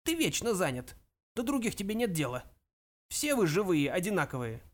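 A man voicing a grumpy, scornful ancient ghost of a great-grandfather, with a creaky voice and stern, pompous scolding, in an audiobook. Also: high voice, big pitch swings, normal speed, pitched above the performer's own voice.

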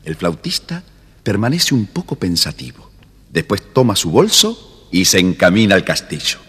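A man's voice: sits low, very animated, normal speed, a bit bright, long reverb tail, a little hiss or hum. A man narrating a children's fairy tale, phrasing it carefully and dramatically. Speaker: storybook narrator.

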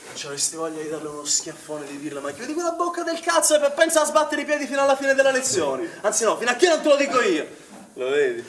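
An actor playing a cocky, rebellious young swimming instructor, mocking and casual in a stage drama. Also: male voice, very high-pitched, big pitch swings, fast, fairly bright tone, heavily acted. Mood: provocative. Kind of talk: stage-style Italian drama acting. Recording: echoey, distant stage recording with clear noise.

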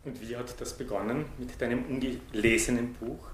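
A man's voice: mid-range voice, moderate pace, crowd noise. A man putting formal, prepared questions as an interviewer. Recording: roomy, faintly noisy interview recording.

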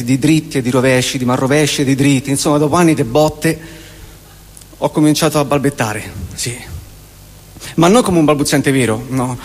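A man in his forties with a Roman accent performs a theatrical comic monologue, using emphatic repetitions and deliberate pauses. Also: fairly high pitch. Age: 40s. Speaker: stage performer. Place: live theatre performance in a hall. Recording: long hall reverb of a live venue.

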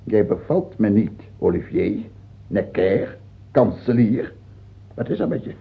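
A man's voice: fairly low pitch, big pitch swings, a little slow, gravelly, heavily acted. An actor the playing an ailing, fearful and tyrannical king of France in a radio drama, volatile, moving between brooding murmurs and barked scorn. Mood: irritable, commanding. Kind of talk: theatrical radio drama acting. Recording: dull, lo-fi old radio recording.

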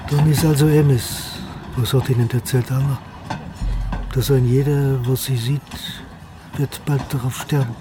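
A bewildered foreign deportee played by a man in a radio play, asking anxious questions. His phrasing is halting and non-native, in a middle-pitched voice. Music plays clearly behind him. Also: steady tone, lightly acted. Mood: puzzled. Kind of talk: German radio drama acting.

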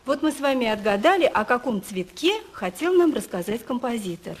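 A woman's voice: on the high side, expressive, a little fast, husky. A woman leading a music lesson for young children, asking them questions and reciting verse expressively.

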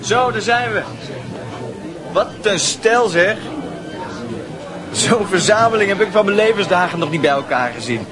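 Brash, animated high-voiced young man. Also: a little slow, long pauses. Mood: brash, chatty. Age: young adult. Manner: blunt, breezy. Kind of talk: Dutch radio drama acting. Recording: music and crowd of dance hall behind.

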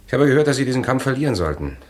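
An actor playing detectives and frightened men in old radio crime dramas, unstrained delivery. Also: male voice, medium pitch, sing-song, racing, a little mellow, lightly acted. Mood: tense. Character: adult male characters, from a frightened coward to a hard-boiled detective.

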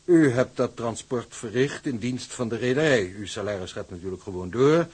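Formal, unctuous shipping clerk-in-charge male actor, courteous yet unyielding, husky mid-range voice, radio drama. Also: medium pitch, normal speed, a little mellow, close to an everyday voice. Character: polite, smooth-talking, unyielding male shipping office manager. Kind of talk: Dutch radio drama acting.

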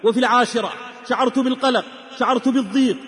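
A man delivers a dramatic sermon in a very high-pitched voice. His manner is solemn and declamatory, full of emotive storytelling and exhortation, and every phrase rings out in long, hall-like reverb. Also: steady tone, fast, a bit bright, slight background noise. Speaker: preacher.

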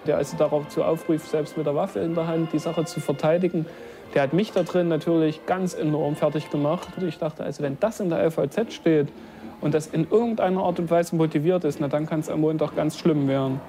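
A man's voice: a little high, fairly even intonation, a little fast, mellow, faint music behind. A man recounting personal experiences informally, in colloquial run-on sentences. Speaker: eyewitness recalling his arrest. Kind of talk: informal spoken recollection.

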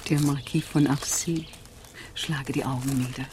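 A woman's voice: extremely low pitch, fairly even intonation, unhurried, background noise. Mother fallen on hard times: humble, watchful, period radio play, slight background ambience. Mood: hushed, urgent. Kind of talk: 1970s German radio play acting.

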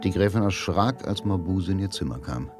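A man's voice: deep voice, animated, fairly quick, over loud music. A man narrating a radio drama in a dramatic, scripted style.

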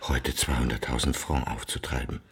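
An actor playing an aging, dejected philandering baron in a radio drama, with a gravelly, husky voice. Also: male voice, very deep voice, steady tone, moderate pace, full character voice. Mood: despairing.

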